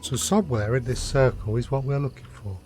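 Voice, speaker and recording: A British man commentates casually on a game he is streaming. His pitch is average and he thinks aloud in short, offhand remarks. Music is clearly audible behind him. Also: fairly quick, darkish tone. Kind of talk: casual live gameplay commentary.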